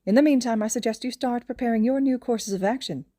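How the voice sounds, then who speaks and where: Lady doing a chipper little evil genius animal principal guy, high voice, wordy n formal, smug, for a fanfic reading. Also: fast talker, mellow, dry recording, clean studio sound, lightly acted. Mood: chipper, businesslike. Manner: formal, verbose, cheerfully smug. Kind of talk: audio fan fiction character voice.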